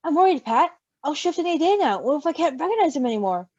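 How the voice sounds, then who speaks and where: A woman's voice: high-pitched, very animated, quick pace, darkish tone, dry recording. A woman voicing an anxious, protective male werewolf in a story reading, worried and earnest. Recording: dull lo-fi recording.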